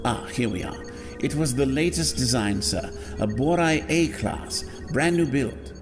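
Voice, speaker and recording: A man's voice: mid-range voice, lively intonation, a little slow, natural voice. Man as polite manservant, formal, composed, deferential, echoing hall sound, music underneath, audio drama. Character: polite, composed male butler. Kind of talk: fan-made audio drama acting.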